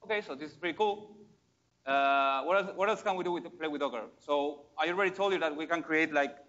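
A man's voice: a little high, a little fast, a bit bright, lo-fi sound. A man giving a casual, enthusiastic live demo at a tech meetup, with many fillers and restarts. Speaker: conference speaker.

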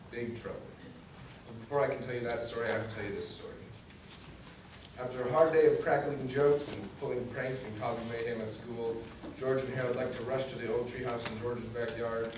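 A young man reading a children's book aloud to an audience in a meeting room, picked up from a distance. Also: medium pitch. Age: young adult. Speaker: guest reader, student government vice president. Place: medium indoor meeting room at a library. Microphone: distant camera facing a podium. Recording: echoey room from far off, noisy.

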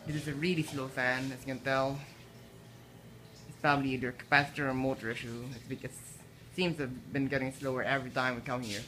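A man vlogging indoors about a ceiling fan, holding a phone camera, talking in a halting way with frequent restarts. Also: medium pitch, flat delivery, background noise. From Philippines. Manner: halting, repeats words and restarts often. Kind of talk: casual filmed commentary. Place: indoors in a house kitchen. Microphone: handheld phone camera.

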